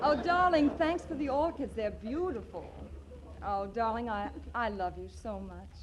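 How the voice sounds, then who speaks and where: An actress playing a sweet, affectionate young woman with a gentle manner in a 1940s radio comedy. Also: on the high side, moderate pace, a crowd in the background, close to an everyday voice. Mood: adoring. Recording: muffled vintage 1940s radio recording.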